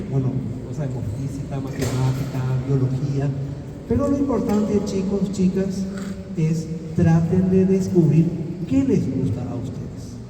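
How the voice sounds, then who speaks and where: A man's voice: on the high side, dark tone, slight background noise. Paraguayan man cheering on students. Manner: encouraging, rhetorical questions. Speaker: scientist speaking at a science festival. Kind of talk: motivational talk to students. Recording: echoey hall from far off.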